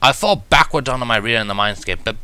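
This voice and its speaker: A man's voice: mid-range voice, animated, quick pace, pushed and bright, clean tone, no echo. A man reading fan fiction aloud as dramatic first-person narration, in the manner of an audiobook.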